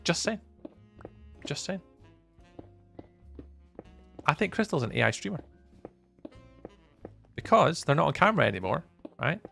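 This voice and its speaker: A young Scottish man from the east coast, live-streaming and chatting to viewers in a teasing, up-and-down way, from loud bursts to low mutters. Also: big pitch swings, unhurried, vocal fry, pauses often, faint music behind. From east coast of Scotland. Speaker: livestreamer.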